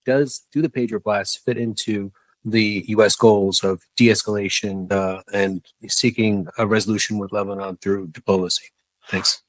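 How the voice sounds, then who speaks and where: A male reporter with a fairly low voice puts a formal question at a press briefing. The sound is dry, with little room in it. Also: fairly even intonation, normal speed, no echo, poor audio.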